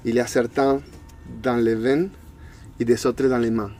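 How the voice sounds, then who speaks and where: A man's voice: medium pitch, level tone, unhurried, a little mellow, soft background music. An Argentine man in his thirties, a native Spanish speaker, talking casually in an interview and searching for words with a Spanish accent. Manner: hesitant, searching for words in a second language. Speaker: interview guest.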